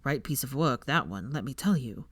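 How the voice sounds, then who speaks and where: Casting: sardonic young wizard for an audio story, low drawl, mocking and teasing, close dry sound female reader acting the man. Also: lively intonation, moderate pace, slightly rough, no echo, exaggerated voice. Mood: dry, wry.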